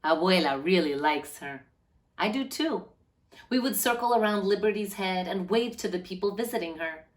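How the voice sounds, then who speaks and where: A woman reading a children's picture book aloud as a storyteller, with animated, deliberate phrasing and drawn-out words. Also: medium pitch.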